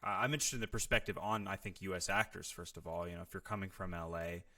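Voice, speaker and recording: A man with a low, forward-sounding voice chats casually as an actor seeking advice. He builds his questions hesitantly, circling toward the point in several steps. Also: fast, pushed and bright. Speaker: actor asking for career advice. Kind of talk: casual question-and-answer chat.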